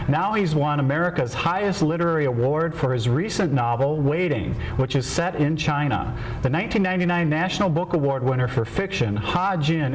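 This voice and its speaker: A slightly high-pitched man hosting a TV show reads his introductions in a measured, formal announcer's way. A faint hiss lies under his voice. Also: low-quality recording. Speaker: TV host. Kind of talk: scripted introduction and interviewing.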